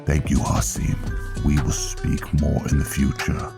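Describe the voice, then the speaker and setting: A man voicing a solemn, commanding vampire blood knight elder in a fantasy roleplay, with a gravelly, grave, measured and formal delivery. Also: very deep voice, huge pitch swings, music in the background, full character voice. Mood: grave, persuasive. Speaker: character narrator in a roleplay story. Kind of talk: dramatic scripted fantasy roleplay.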